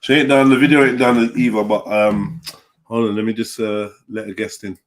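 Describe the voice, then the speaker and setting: A man's voice: lowish voice, little pitch movement, moderate pace. A man hosting a live panel in a casual, slangy, informal way. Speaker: live panel show host.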